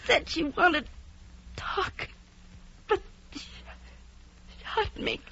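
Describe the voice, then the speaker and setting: Very high, faint dying mistress. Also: female voice, fairly bright tone, slight background noise, full character voice. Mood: agonized, fading. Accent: American. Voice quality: breathy, faint, halting. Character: dying mistress, weak, pained and clinging. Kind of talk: 1950s radio drama acting. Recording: muffled vintage radio recording.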